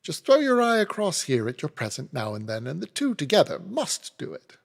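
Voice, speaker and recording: A man's voice: a little high, huge pitch swings, normal speed, creaky, pitched above the performer's own voice, exaggerated voice. A man voicing a cheerful, motherly soldier's wife in a raised, airy voice with a wandering put-on accent. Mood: coaxing. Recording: reverberant room.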